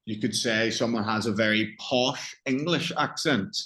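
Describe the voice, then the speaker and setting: A man's voice: medium pitch, measured pace, pushed and bright. A man with a Northern Irish accent teaching English one-on-one, explaining patiently with examples and questions. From Northern Ireland.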